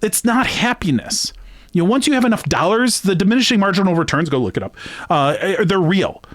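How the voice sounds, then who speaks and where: A man's voice: high-pitched, lively intonation, fairly quick, no room sound. Earnest, rambling man, dry close sound. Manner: rambling, earnest, conversational, swinging between emphatic and hushed; long-winded. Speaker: sports betting channel host. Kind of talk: reflective solo monologue to camera.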